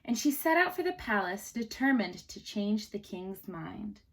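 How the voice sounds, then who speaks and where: Animated woman reading a storybook to children. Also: medium pitch, a little slow, gravelly, husky. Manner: simple, questioning, explaining to children. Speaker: teacher. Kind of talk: storybook read-aloud for children with explanatory asides.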